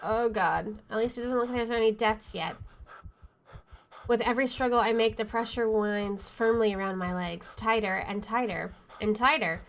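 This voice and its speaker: A woman's voice: a little high, normal speed. A woman reading a horror visual novel aloud as she plays, in dramatic first-person narration. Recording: faint game music behind the voice. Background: faint game music.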